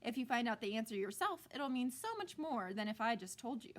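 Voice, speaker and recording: A woman reading a storybook as a stern female teacher who speaks formally with a sly, deliberate sweetness. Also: fairly high pitch, very animated. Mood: firm, sweet.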